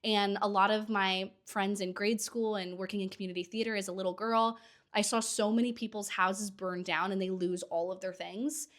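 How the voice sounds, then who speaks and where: A woman's voice: medium pitch, flat delivery, normal speed, a bit bright. Woman in her thirties, podcast host, talking casually and eagerly, still a bit worn from a cold, mid-range voice. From Southern California. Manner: chatty, enthusiastic, with short laughs. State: getting over a cold. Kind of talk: casual solo podcast monologue.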